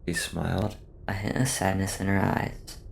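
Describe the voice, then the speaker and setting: Deep, gravelly man narrating slowly. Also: level tone, a little hiss or hum. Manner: slow, measured, subdued delivery. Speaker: horror story narrator. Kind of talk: scripted first-person horror narration.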